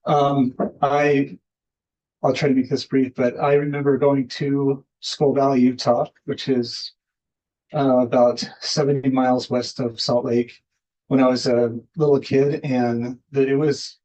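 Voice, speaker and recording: A man's voice: medium pitch, level tone, measured pace, a little mellow, rough recording. A man telling a personal family story at a community panel, hesitant, with many fillers and restarts. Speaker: panelist, Iosepa descendant.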